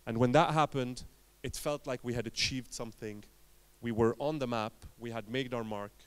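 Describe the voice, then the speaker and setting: A man from Lebanon giving a conference talk as an entrepreneur, telling his story in short emphatic phrases with dramatic pauses. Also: mid-range voice, steady tone, smooth.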